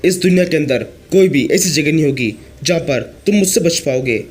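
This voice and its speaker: A hoarse man reading the lines of a cold, ruthless young cultivator who issues threats. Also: fairly high pitch, lively intonation, fairly quick, darkish tone. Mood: threatening. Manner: threatening, commanding.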